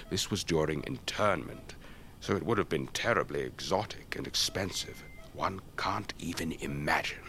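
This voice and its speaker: A very deep, elderly English voice, that of a retired officer and urbane raconteur. He speaks slowly, with old-fashioned gentlemanly poise, as in a radio drama. There is some noise behind him. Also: creaky, full character voice. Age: older.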